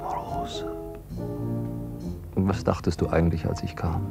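A man acting an infatuated, anxious, dreamy clerk in an intimate radio play, hushed and fervent, often near a whisper. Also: sits low, flat delivery, a little slow, gravelly, loud music behind the voice, full character voice. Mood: besotted, tender.

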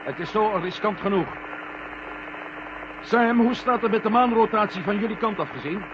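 A man's voice: high-pitched, normal speed, lightly acted. A man playing a firm, cautious astronaut commander in a vintage science fiction radio play. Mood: businesslike, firm. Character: male moon-mission astronaut commander, firm, cautious, sometimes confused. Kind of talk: 1970 Dutch science fiction radio drama acting. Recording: muffled, noisy old radio play recording.

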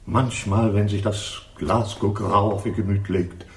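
An actor playing a theatrical, pompous and evasive lodging-house caretaker in a 1960s German radio crime drama. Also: male voice, fairly low pitch, fairly even intonation, unhurried, breathy edge, exaggerated voice. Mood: suspicious, officious. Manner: florid, grandiose, evasive. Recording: 1960s studio radio play.

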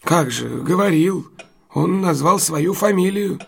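Husky, higher-voiced deferential cabbie. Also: male voice, animated, full character voice. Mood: earnest recounting. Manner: servile, halting, addressing his better respectfully. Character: deferential working-class London cab driver, wary but honest. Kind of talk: audio drama acting.

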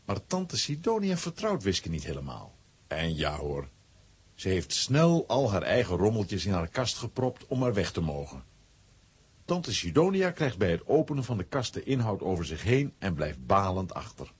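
A man narrates a children's story in a very low voice. It is strikingly husky, and the pitch moves in lively swings. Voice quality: very husky, rough.

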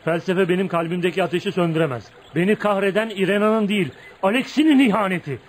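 Man playing a brooding, high-voiced, jealous radio drama hero. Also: fast, a little hiss or hum, close to an everyday voice. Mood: furious, anguished. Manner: dramatic, intense, interrogating. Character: brooding, jealous, wounded man of volatile temper. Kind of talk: Turkish radio theatre acting.

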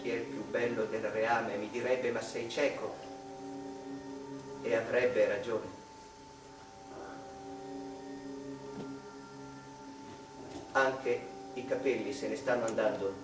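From a little far off in an echoey room, an actor plays a melancholy male mathematician. His theatrical monologue is slow, halting and wistful, like a confession, with faint music behind. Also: medium pitch, close to an everyday voice. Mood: bitter, self-mocking. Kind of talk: dramatic stage monologue.

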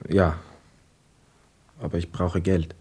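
An actor playing a lonely, melancholy young returned soldier in a radio play, quiet, measured and husky, often narrating in the first person. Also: male voice, low voice, flat delivery, dry recording, full character voice. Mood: weary.

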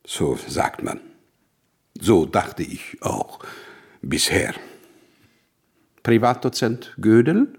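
Deep-ish husky genius scientist in a radio drama, pompous, wry, kinda melancholic. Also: male voice, very animated, very slow, long pauses, full character voice. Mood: self-assured, measured. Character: brilliant, self-important, melancholic Hungarian mathematician, urgent.

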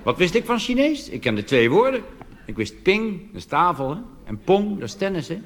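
A Dutch man doing a cabaret monologue on stage, delivering deadpan punchlines and jumping into animated put-on voices. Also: high voice, moderate pace. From Netherlands. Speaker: cabaret comedian. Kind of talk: stand-up monologue. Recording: reverberant hall with audience noise.

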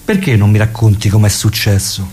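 Coaxing man, deep voice. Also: fairly quick, a little mellow, husky.

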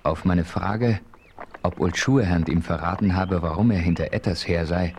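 An actor as the first-person frontier narrator of a 1970s radio play, clear and matter-of-fact. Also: male voice, sits low, moderate pace, a little mellow, a little hiss or hum. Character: adventurous frontiersman narrator, man.

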